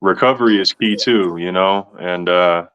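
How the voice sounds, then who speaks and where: Very deep-voiced laid-back man in his 30s. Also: normal speed, fairly bright tone, clear, resonant tone, dry recording. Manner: laid-back, slangy, lots of "man" and "bro". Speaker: host. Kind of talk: casual interview chat.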